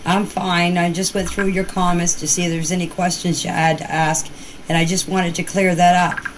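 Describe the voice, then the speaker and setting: A woman's voice: lowish voice, little pitch movement, moderate pace. A woman giving a casual, rambling personal account in plain, folksy words, recorded as voice messages. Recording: noisy voice-message clips with background ambience.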